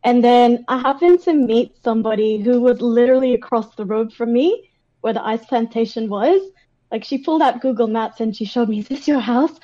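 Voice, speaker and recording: Bright, chatty Australian woman. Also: fairly high pitch, fairly quick, lo-fi sound. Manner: chatty, animated retelling with acted-out quotes and frequent "like". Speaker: interview guest. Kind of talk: casual personal storytelling.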